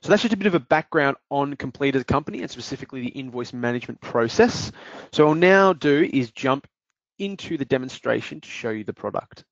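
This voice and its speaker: Man giving a dull, dry software demo. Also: mid-range voice, quick pace, no room sound. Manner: steady explanatory delivery with occasional fillers. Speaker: product presenter.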